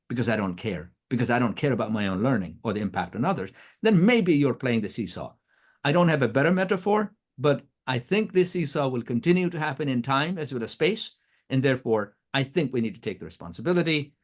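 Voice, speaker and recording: A middle-aged man whose first language is Nepali, giving an earnest academic talk, often restarting words, with a throat irritated by allergy. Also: mid-range voice. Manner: earnest, questioning, frequent restarts. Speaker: guest speaker, professor.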